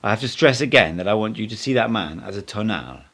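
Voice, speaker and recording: A man acts out a male teacher in an audiobook story, with a fairly low voice. He instructs and corrects with firm, declarative certainty, stressing his points. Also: expressive, quick pace, fairly bright tone. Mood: firm, critical.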